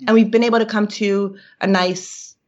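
A woman's voice: mid-range voice, fairly even intonation, moderate pace, a little mellow. Reflective woman, dull lo-fi sound. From New York. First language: Yiddish. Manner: reflective, searching for words, many fillers. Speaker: guest. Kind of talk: personal interview. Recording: dull lo-fi recording.

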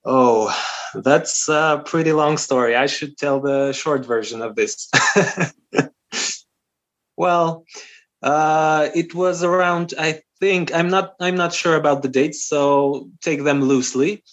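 A man's voice: on the high side, slow. A man in his twenties from North Macedonia whose first language is Albanian, telling a story as a podcast guest with many fillers and restarts. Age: 20s. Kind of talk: casual interview. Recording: muffled lo-fi audio.

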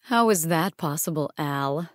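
A woman's voice: expressive, a little slow, dry recording, studio quality. An actress playing a sultry, sardonic vampire woman who hosts a horror show, with a silky voice and theatrical, haughty delivery in a dramatized audiobook. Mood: dry, sardonic. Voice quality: deep, silky.

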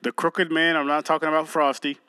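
Bright dry-sounding guy on a podcast, jokey and cussing a lot. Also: on the high side, little pitch movement, fairly quick, clear, resonant tone, no echo. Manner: loose, joking, profane banter. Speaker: podcast co-host. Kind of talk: casual chat.

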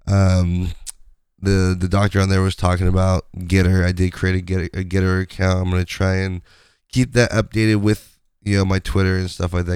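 A man hosting his podcast in a casual, rambling way, his voice raspy from a sinus infection. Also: sits low, no echo, studio quality. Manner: rambling, conversational, many fillers. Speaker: podcast host. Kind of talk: casual solo podcast chat.

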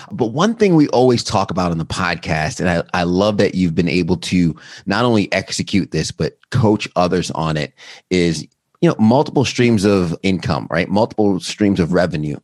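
A man's voice: low-pitched, lively intonation, moderate pace, no room sound, clean studio sound. A man hosting a podcast, asking conversational questions. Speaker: podcast host. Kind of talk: podcast interview.

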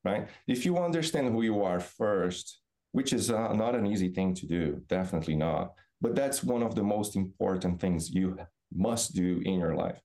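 A middle-aged man from Brazil with a Brazilian accent, a native Portuguese speaker, giving thoughtful, halting explanations as an interview guest. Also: sits low, expressive, mellow. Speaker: podcast guest, business professor. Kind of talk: reflective interview answers.

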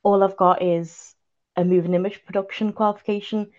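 A young woman from Liverpool with a Liverpool accent, chatting casually as a podcast guest, self-deprecating and quick to laugh. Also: mid-range voice, steady tone, darkish tone, lo-fi sound. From Liverpool, England. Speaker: podcast guest, video journalist. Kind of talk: casual chat.

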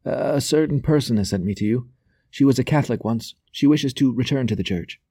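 An agitated, furtive servant played by a male narrator in an audiobook story, lifted in pitch. He is deferential and pleading, stumbling over repeated words in his haste, with a close, dry sound. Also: brisk, warm tone, slightly rough, no echo. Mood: anxious, pleading. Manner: hasty, stammering, deferential.

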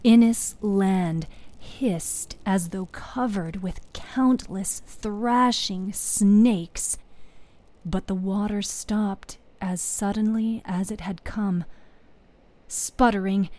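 A woman narrating a scripted fantasy story dramatically, with measured phrasing and deliberate pauses, as a podcast storyteller. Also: medium pitch, mellow, no echo. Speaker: narrator and producer of a fiction podcast.

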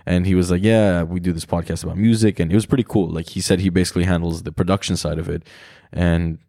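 Man, very low voice, loose reflective podcast ramble, frequent swearing, dry close sound. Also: fairly even intonation, fast talker, gravelly, dark tone, dry recording. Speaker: podcast host. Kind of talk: casual solo podcast monologue. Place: his own room.

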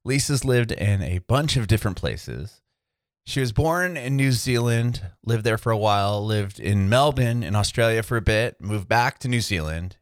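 A man's voice: on the low side, expressive, moderate pace, no room sound. Young man hosting a podcast, dry sound. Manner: eager, upbeat, asks rambling questions. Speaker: podcast host. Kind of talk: podcast intro and casual interviewing.

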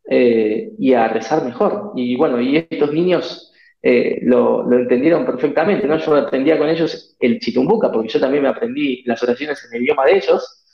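South American missionary priest, gravelly, earnest interview answers, dull echoey audio. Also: male voice, mid-range voice. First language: Spanish. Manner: earnest, reflective, many pauses and fillers.

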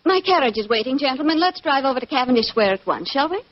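A woman's voice: sits high, sing-song, fast. An actress playing a wealthy, playful English lady with a British accent, poised and imperious in 1940s radio drama style. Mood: haughty, coaxing. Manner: poised, arch, refined. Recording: dull, muffled 1940s radio recording.

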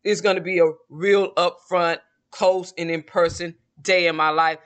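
High bright Southern man in his forties. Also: steady tone, measured pace. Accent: Southern American. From Southern United States. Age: 40s. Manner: rambling and reflective, with frequent restarts. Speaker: vlogger. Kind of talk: casual monologue to camera.

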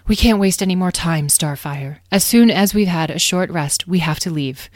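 A woman voicing a caring, resolute mother dragon in a children's story, speaking in a measured, serious manner. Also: on the low side, dry recording, studio quality, close to an everyday voice. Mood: determined.

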